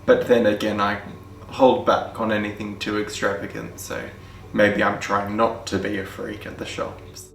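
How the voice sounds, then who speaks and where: A man's voice: fairly low pitch, level tone, normal speed, gravelly, slight background noise. A man with an Australian accent speaking candidly in a documentary interview. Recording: distant, echoey, with music behind.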